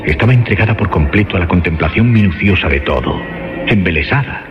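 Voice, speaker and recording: A man's voice: sits low, expressive, fairly quick. A man narrating a scripted horror radio drama in a solemn, literary storytelling manner. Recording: dull lo-fi track under loud music.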